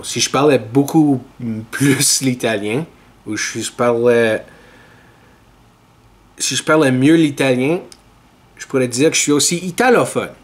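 A man, hosting a podcast and giving measured, opinionated commentary to a webcam in a small room. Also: mid-range voice. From Ontario, Canada. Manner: measured, earnest and opinionated, talks straight to camera. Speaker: podcast host. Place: small indoor room with dark curtains.

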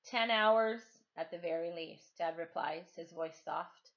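A woman's voice: fairly low pitch, measured pace. A middle-aged woman, a teacher reading aloud and talking to her pupils from her living room. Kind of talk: storytime reading of a novel, then informal address to pupils. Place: living room at home, on a couch. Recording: dull, lo-fi webcam sound.

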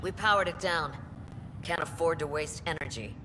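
An actress voicing a composed female ship captain in a video game, speaking in a brisk, matter-of-fact way. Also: low voice, long reverb tail, background noise, close to an everyday voice.

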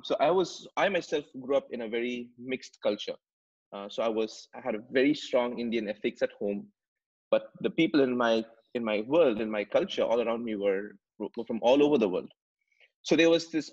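A man in his thirties from Dubai, a podcast guest telling his story earnestly over a video call, often repeating words as he searches for phrasing. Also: medium pitch. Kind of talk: conversational storytelling. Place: home room with plants and shelves. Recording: slightly muffled video call audio.

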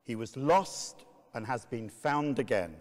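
A man reading a sermon as narrator in a measured, emphatic, oratorical way. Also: mid-range voice, expressive. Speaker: narrator, reader. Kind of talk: dramatic reading of a medieval sermon. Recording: long reverberant hall echo.

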